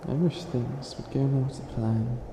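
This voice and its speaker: A man playing a centuries-old, boastful but bumbling evil witch in an ASMR comedy roleplay, speaking in a theatrical, halting, grandiose way. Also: medium pitch, fairly even intonation, reverberant, background noise, natural voice. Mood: musing.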